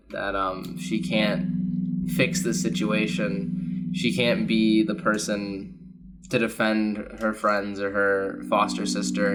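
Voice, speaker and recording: A young man describing his character's actions in a tabletop game, soft and halting. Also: on the low side, poor audio. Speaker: player.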